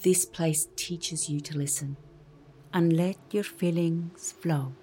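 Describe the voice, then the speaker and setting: A woman's voice: low voice, steady tone, takes it slowly, mellow, soft background music. A woman giving a poetic recitation in a radio play.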